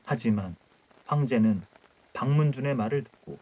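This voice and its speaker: A man's voice: medium pitch, normal speed, mellow, dull audio. A man narrating a scripted historical folktale as an audiobook storyteller.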